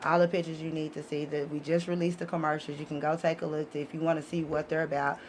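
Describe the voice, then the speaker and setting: A woman's voice: low-pitched, flat delivery, quick pace. A woman podcast guest talking in an impassioned, conversational way, blunt, emphatic and full of slang. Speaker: podcast guest, author of children's book series. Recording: noisy room ambience.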